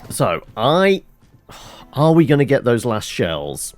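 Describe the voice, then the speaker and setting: A man's voice: medium pitch, wildly swooping pitch, slow pace. A man hosting a let's play, who rambles and corrects himself as he mutters through his inventory. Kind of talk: casual gameplay commentary. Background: game music.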